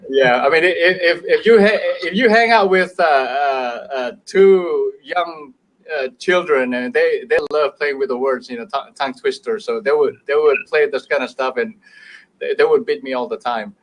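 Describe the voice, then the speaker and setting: A man's voice: mid-range voice, normal speed, rough recording. A middle-aged man with an Indonesian accent, English his second language, talking about business on a talk show, hesitant with many fillers and restarts. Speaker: business show guest expert.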